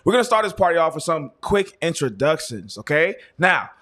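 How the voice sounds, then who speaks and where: A man's voice: on the high side, big pitch swings, fairly quick, fairly bright tone. A man hosting a live panel in an informal, chatty, joking way. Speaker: host of a live health panel. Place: inside a wellness center.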